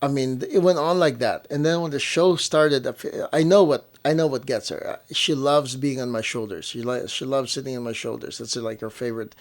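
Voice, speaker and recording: A young man with an average-pitched voice shares stories in a casual, conversational way. He talks like a parent co-hosting a family show. He often circles back to repeat or rephrase what he just said for emphasis. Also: fairly even intonation, brisk, hardly any pauses.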